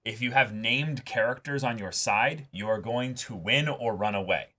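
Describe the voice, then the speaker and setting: A man's voice: mid-range voice, moderate pace, sharp tone. A man co-hosting a reaction show in casual discussion, emphatic and given to repeating phrases for stress.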